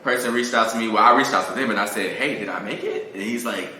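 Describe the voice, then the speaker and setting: A man chatting casually as an interview guest, telling stories in an animated way. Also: fairly low pitch, fast talker. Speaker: guest, singer-songwriter. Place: echoey room. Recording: very echoey room, faint noise.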